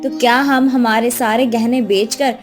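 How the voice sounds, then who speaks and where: A woman's voice: a little high, fairly even intonation, unhurried, soft background music, lightly acted. A woman voicing a young, dutiful and resolute daughter-in-law, earnest and pleading, in a moral story cartoon. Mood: reassuring, earnest.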